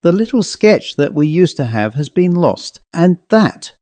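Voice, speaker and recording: A man voicing a gentle young woman, plaintive and anxious, in a ghost story. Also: fairly high pitch, sing-song, a little slow, dry recording, pitched above the performer's own voice. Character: sweet-faced young woman, gentle, plaintive, anxious about her father.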